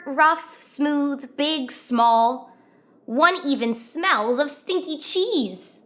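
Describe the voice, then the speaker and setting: A woman reading a children's picture book aloud as a storyteller, expressively and with drawn-out emphasis on key words. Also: sits high, pushed and bright. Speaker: children's read-aloud host.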